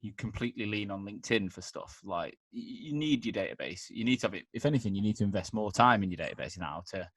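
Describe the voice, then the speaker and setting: A man's voice: on the low side, lively intonation, fast talker, hardly any pauses, a bit bright, husky. A man hosting a podcast in a casual, chatty way, informal and restarting his questions mid-sentence. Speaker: podcast host. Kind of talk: casual chat interview.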